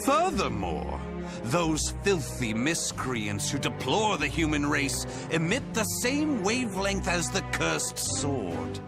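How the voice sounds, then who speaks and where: A grandiose mad scientist from a video game. His voice swings dramatically up and down at a slow, savoring pace, gloating and theatrical. Loud music plays behind him. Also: on the high side, huge pitch swings, vocal fry, full character voice. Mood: lecturing, scholarly. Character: eccentric fanatical male scientist, grandiose, obsessed with saving humanity.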